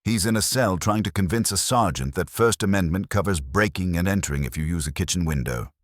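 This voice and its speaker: A man voicing a gruff, irritable, weary police inspector with a blunt, grumbling manner in a detective audiobook. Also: low-pitched, brisk, dry recording, clean studio sound. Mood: grudging, weary.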